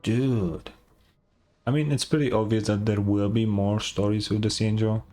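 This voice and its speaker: A man's voice: on the low side, fairly even intonation, moderate pace, mellow. A young man casually reacting to a game story as a streamer, laid-back with short interjections. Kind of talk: casual live reaction commentary. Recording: game music behind the voice.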